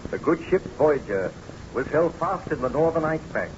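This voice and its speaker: A man narrating as announcer of an old-time radio drama, formal and measured. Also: a little high, expressive, close to an everyday voice. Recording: muffled, noisy old radio recording.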